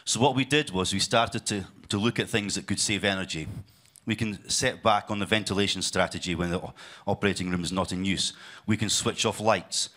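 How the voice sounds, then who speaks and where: A man from the UK, speaking at a conference in a deep, low voice. His delivery is loose and unscripted, full of fillers, repeated phrases and restarts. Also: fairly bright tone. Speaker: conference speaker, sales leader. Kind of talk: conference presentation.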